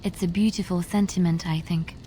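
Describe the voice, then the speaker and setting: An actress playing a gentle, devout bard in a video game, speaking softly, earnestly and reflectively. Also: female voice, fairly low pitch, steady tone, fairly quick, a little hiss or hum, lightly acted. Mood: wistful, tender. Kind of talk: dramatic video game dialogue.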